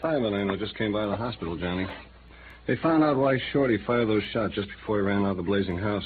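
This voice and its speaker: An actor playing a brisk, matter-of-fact American sidekick in a 1950s radio noir drama. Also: male voice, fairly low pitch, close to an everyday voice. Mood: brisk, puzzled. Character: brisk, plain-spoken male sidekick named Joe. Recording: tinny, hissy vintage radio recording.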